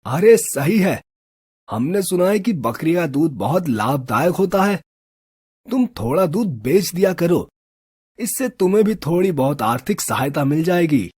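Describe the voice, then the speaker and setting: Chatty helpful villager for an animated story, husky and lively. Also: male voice, on the high side, unhurried, dry recording, studio quality, exaggerated voice. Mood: friendly.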